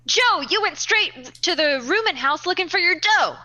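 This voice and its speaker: A woman's voice: very high-pitched, expressive, normal speed, sharp tone. A woman casually hosting and organizing a live play reading, on a slightly dull recording. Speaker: host directing live readings.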